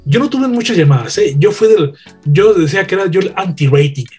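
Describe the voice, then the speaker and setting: A man who works as a radio broadcaster, speaking in a measured, reflective way during a casual panel chat. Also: high-pitched, expressive, darkish tone, husky, soft background music.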